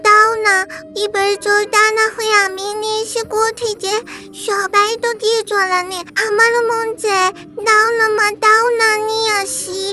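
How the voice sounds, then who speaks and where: Eager, childlike village girl for a cartoon; extremely high, fairly even pitch, over music. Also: moderate pace. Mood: pleading, eager. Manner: pleading, childlike. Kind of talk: cartoon voice acting. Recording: music clearly behind the voice.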